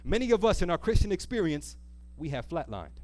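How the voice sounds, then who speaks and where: A man's voice: a little high, sing-song, a little fast, a little mellow. A man preaching an impassioned sermon, emphatic and repetitive, drawing out key words and putting rhetorical questions to his congregation. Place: church, live before a congregation.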